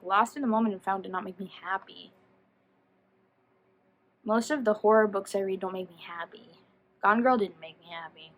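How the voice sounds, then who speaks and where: A woman's voice: a little high, a bit bright. A woman chatting casually to viewers as a book vlogger, rambling and self-deprecating as she goes off on tangents. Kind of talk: casual chatty video talk.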